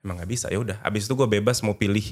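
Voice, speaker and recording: A man's voice: fairly low pitch, very fast, darkish tone. A man in his thirties from North Sumatra chatting casually as an interview guest, colloquial and dropping in English phrases. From North Sumatra, Indonesia. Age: 30s. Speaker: podcast guest, presenter and pageant alumnus.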